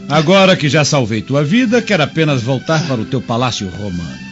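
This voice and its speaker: A man's voice: medium pitch, sing-song, fairly quick, highs cut off, music in the background, close to an everyday voice. A man playing a sly old man, wildly swooping voice. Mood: mocking, wheedling. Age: older. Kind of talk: radio drama acting.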